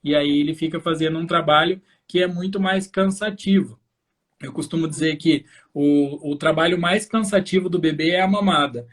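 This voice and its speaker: A man's voice: a little high, normal speed. A man with a Brazilian accent hosting a live educational talk, explaining fluently and speaking directly to parents as a practitioner. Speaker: host, infant osteopath.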